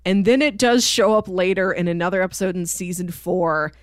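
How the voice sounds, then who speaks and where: A woman's voice: fairly low pitch, brisk, clean tone, no room sound, studio quality. A woman co-hosting a podcast, talking casually through a TV show and acting out imagined lines as she explains. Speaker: podcast co-host. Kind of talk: casual conversational TV show discussion.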